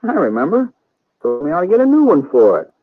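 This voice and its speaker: A garrulous, friendly Old West blacksmith with a folksy rural Western drawl. The voice is husky and rather high, with lively swings of pitch, on an old radio drama recording. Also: male voice, fast, no room sound, narrow, tinny audio, faint music behind, heavily acted. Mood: recollecting. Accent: rural Western American. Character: talkative, friendly small-town frontier blacksmith.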